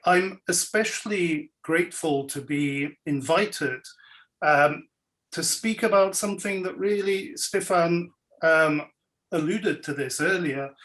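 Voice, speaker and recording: A man who grew up in Belgium, with French as his first language, speaks in a fairly high voice. His talk is wry and measured, and he clears his throat now and then. He is on a video call from his home. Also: fairly bright tone, rough recording. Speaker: guest speaker, scholar and writer. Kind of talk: relaxed lecture-style talk. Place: home, indoors.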